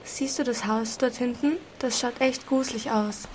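A woman's voice: a little high, fairly even intonation, normal speed, a little mellow, close to an everyday voice. A young schoolgirl playing an eager but uneasy boy in an amateur radio play. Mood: casual. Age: child pupil. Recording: noisy amateur recording.

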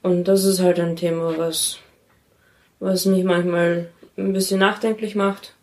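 A lower-pitched woman speaks in a reflective, candid interview style. Her intonation stays fairly flat, and a clear creak runs through her voice. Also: a little slow. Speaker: interviewee, parent in a polyamorous family.

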